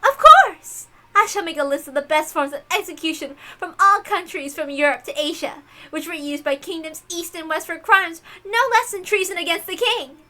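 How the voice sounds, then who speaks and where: A woman's voice: very high-pitched, lively intonation, normal speed, hardly any pauses, bright, forceful tone, soft background music, voice pushed up, exaggerated voice. A woman voicing a fanatically eager young servant girl, gushing and theatrical in her devotion to her mistress. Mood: eager, fervent.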